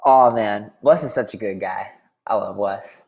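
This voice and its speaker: A man from Wisconsin, a pro player, guesting on a podcast, relaxed and self-deprecating with frequent laughs. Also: fairly low pitch, a little slow, gravelly, low-quality recording. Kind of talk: casual podcast interview.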